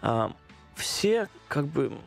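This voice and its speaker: A man speaking with a strong Danish accent, a guest chatting casually in an interview and pausing often with fillers. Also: a little high, big pitch swings, vocal fry, soft background music. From Denmark. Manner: hesitant, many pauses and fillers.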